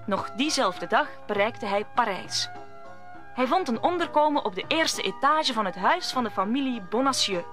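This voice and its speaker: A woman's voice: on the high side, expressive, normal speed, a bit bright, soft background music. A woman narrating a scripted radio play as a storyteller.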